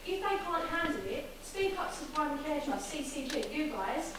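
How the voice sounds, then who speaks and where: A young woman in her twenties rattles off an impassioned complaint, quick and forceful. Her voice rings through a very echoey hall, picked up from well off the mic. Steady background noise sits under her. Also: sits high, distant mic. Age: 20s. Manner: fast, forceful and indignant. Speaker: audience member raising a complaint. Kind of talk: impassioned public-meeting statement. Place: large public meeting hall.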